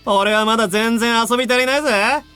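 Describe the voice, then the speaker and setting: A man's voice: very high voice, very fast, bright, forceful tone, clear, resonant tone, faint music behind. A man playing a cocky, gleeful young rival villain in an anime visual novel, taunting in a boisterous, theatrical way. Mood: gleeful mocking.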